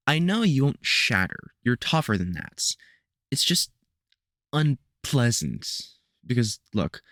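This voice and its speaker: Man acting a wary vampire hunter, expressive, dry sound. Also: mid-range voice, dry recording. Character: gruff, wary veteran male vampire hunter and protective mentor. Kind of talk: audio roleplay drama, speaking to the listener.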